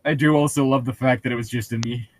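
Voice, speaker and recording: A man's voice: mid-range voice, fairly even intonation, quick pace. Casual man joking crudely. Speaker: co-host of a comedic read-along podcast. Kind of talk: casual banter between book readings.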